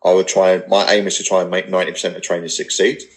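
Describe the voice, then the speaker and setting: Male podcast guest, 30s, low voice, everyday non-posh English accent, earnest and blunt, loose conversational delivery. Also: level tone, fast. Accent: English, not posh. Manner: earnest, rambling, blunt with swearing. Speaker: podcast guest, personal trainer business owner. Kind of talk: casual podcast interview, candid talk.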